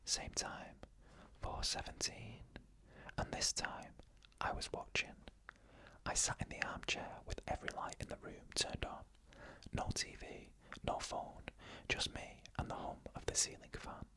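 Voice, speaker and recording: Whispering ASMR storyteller, crisp breath. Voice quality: unvoiced whisper throughout. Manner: hushed, slow, suspenseful storytelling. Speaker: ASMR narrator.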